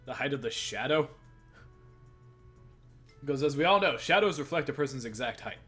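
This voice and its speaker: Male let's play narrator, average pitch, bantering reader, faint music underneath. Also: lively intonation, normal speed, fairly bright tone. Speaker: host reading a visual novel.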